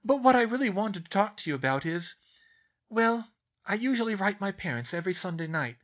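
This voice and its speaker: A man's voice: high-pitched, sing-song, fairly quick, a bit bright, lo-fi sound, pitched above the performer's own voice. A man voicing an earnest, anxious young schoolgirl who speaks politely and hesitantly.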